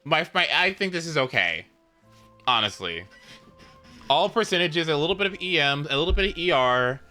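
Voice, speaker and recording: A man chatting casually as he streams a video game, often leaping into high, squeaky exclamations. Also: sing-song, moderate pace, sharp tone, soft background music. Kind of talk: casual gaming stream chat.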